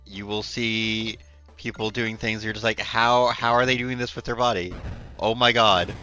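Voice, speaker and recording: A man with a hoarse, nearly gone voice, chatting casually and effusively on a game livestream. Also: fairly low pitch, steady tone, normal speed, sharp tone, muffled recording, faint music behind. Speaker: game streamer.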